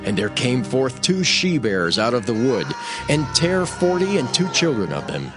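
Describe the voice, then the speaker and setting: Expressive male Bible narrator over music. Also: mid-range voice, normal speed. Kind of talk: dramatized scripted narration of King James scripture. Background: background music.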